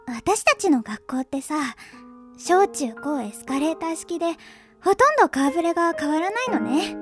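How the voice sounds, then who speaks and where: Very high, coy teenage girl, acted by a woman. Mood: bitter. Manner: coy, teasing, sweetly girlish. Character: pretty, popular, coquettish, self-absorbed teenage schoolgirl. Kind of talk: Japanese anime-style audio drama acting. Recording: background music under the voice.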